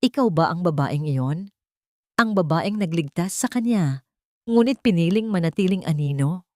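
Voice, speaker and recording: A woman's voice: on the low side, expressive, moderate pace, warm tone, dry recording, studio quality, lightly acted. A woman with a Filipino accent voicing a mysterious, bitter elderly stranger giving cryptic warnings in an audio drama. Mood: probing.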